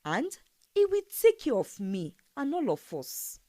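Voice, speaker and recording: A woman's voice: high voice, wildly swooping pitch, measured pace, warm tone, no echo, pitched above the performer's own voice, full character voice. Storybook schemer: female narrator as a vain young gold-digger, boastful, wheedling, swooping intonation, close dry sound.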